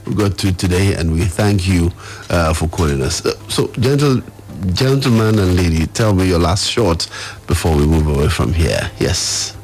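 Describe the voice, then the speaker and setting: A man's voice: low voice, expressive, moderate pace, darkish tone. A man with a Nigerian accent hosting a live radio phone-in from a studio. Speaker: radio host.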